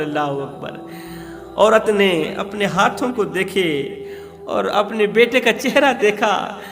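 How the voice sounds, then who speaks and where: A man's voice: extremely high pitch, fairly bright tone. A man with a Pakistani accent preaches, telling a moral tale in a dramatic, declamatory sermon style with emphatic repetition. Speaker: preacher and religious storyteller. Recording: long hall reverb with music.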